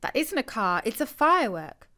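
A woman's voice: on the high side, big pitch swings, moderate pace, sharp tone. A British woman voicing a sceptical, chiding woman in a children's story, questioning and reproachful. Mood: doubtful.

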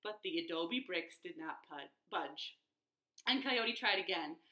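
An American woman in her thirties reading a picture book aloud to young children, expressive and clearly phrased. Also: on the low side, a little slow. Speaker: children's librarian, storytime reader. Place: living room at home.

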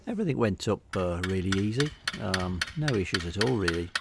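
A middle-aged man with an English accent giving a casual, unscripted voice-over walkthrough, hesitating and pausing often. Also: low voice, expressive, gravelly, very noisy. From England. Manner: hesitant, unscripted delivery with many pauses. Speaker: hobbyist video narrator.

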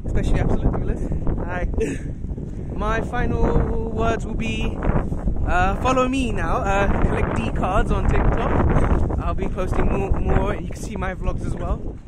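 A young man giving a quick, plain-spoken recap of a tournament, outdoors on a city street at night. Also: high voice, steady tone. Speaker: friend and guest player. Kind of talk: casual chat. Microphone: handheld camera. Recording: loud wind noise on an outdoor phone mic.

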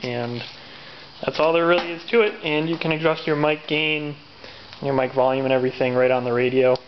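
A man giving a casual, unscripted how-to explanation as a DIY presenter, matter-of-fact and step by step, with hesitant pauses. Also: on the high side, fairly even intonation. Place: garage or workshop with machinery. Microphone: handheld camera's built-in mic. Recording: dull lo-fi camera audio, background noise.

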